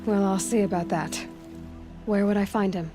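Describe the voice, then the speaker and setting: A woman's voice: mid-range voice, steady tone, moderate pace, natural voice. A woman playing a determined, compassionate young wanderer heroine in a video game, speaking directly and bluntly. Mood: concerned. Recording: loud music behind the voice.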